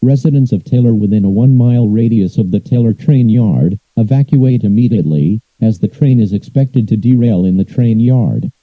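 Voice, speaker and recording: A man's voice: on the low side, moderate pace, few pauses, no room sound, narrow, tinny audio. A man reading scripted emergency alerts as an announcer, in a formal, impersonal, synthetic-sounding delivery.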